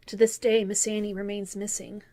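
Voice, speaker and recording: A woman's voice: medium pitch, little pitch movement, moderate pace, dark tone, lightly acted. A woman playing a grown-up wistfully recalling childhood, narrating a scripted first-person story in an earnest, reflective way.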